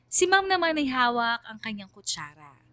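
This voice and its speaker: A woman playing a reflective, empathetic student who narrates a radio drama in a measured, solemn way. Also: a little high, very animated, bright, forceful tone, soft background music. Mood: sombre, moved. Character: reflective student narrator, quietly empathetic toward her teacher. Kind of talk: scripted first-person radio drama narration.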